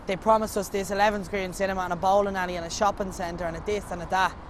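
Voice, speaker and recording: A man's voice: high voice, level tone, quick pace, noisy background. A young man with a Dublin accent, presenting a television feature and talking emphatically. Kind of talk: documentary presenting and interview.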